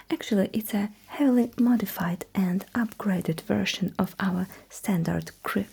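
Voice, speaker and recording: Slow, whispering woman in sci-fi ASMR. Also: fairly low pitch, warm tone. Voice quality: breathy whisper. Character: courteous, dryly witty alien mecha technician. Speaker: ASMR performer. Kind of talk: ASMR roleplay as sci-fi battlesuit technician.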